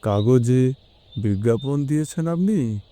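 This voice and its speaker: Man acting a frightened middle-aged gentleman, very mellow muted tone, slow, hesitant, suspicious, audio drama. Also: medium pitch, animated. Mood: cautious.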